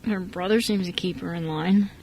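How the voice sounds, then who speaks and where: Woman playing a kindly silver-haired gentleman, faint noise. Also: lowish voice, normal speed, natural voice, low-quality recording. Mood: calm, polite. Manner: calm, courteous. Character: kindly, polite silver-haired man adopting children. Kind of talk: amateur radio play acting.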